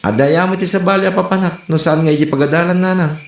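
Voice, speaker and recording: A man's voice: sits high, fairly even intonation, fast talker, gravelly, a little hiss or hum. A man with an Ilocano accent playing a sheepish, hesitant young student son in a comedy radio drama. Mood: casual. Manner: hesitant, stammering. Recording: roomy, echoey voice recording.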